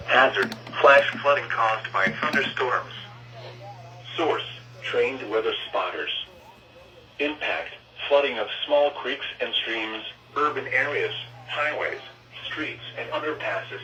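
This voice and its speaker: A man's voice: medium pitch, far from the mic. A synthetic text-to-speech man reads a formal emergency warning over weather radio in list-like phrasing, as an automated announcer. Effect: weather radio broadcast. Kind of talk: scripted emergency warning read-out. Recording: roomy, muffled, noisy weather radio capture.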